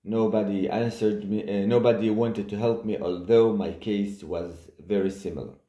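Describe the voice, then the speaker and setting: A man speaking English with a Hebrew accent in a personal video monologue, talking haltingly with many hesitant pauses. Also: on the low side, steady tone, warm tone. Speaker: vlogger. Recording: echoey room.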